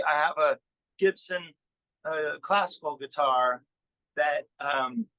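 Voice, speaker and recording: Bright, higher-voiced man chatting as guest. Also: no room sound, poor audio. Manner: halting, thinking aloud while explaining. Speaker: musician, interview guest. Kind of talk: casual interview answers.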